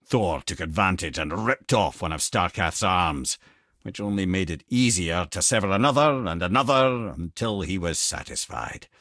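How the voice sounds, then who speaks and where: A man's voice: deep voice, lively intonation, normal speed, a bit bright, no room sound, clean studio sound. A man with a Scottish accent voicing a wise, wry, talkative old sage in a video game, telling tales eloquently in colourful old-fashioned phrases. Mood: storytelling. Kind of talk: video game character lines, storytelling and banter.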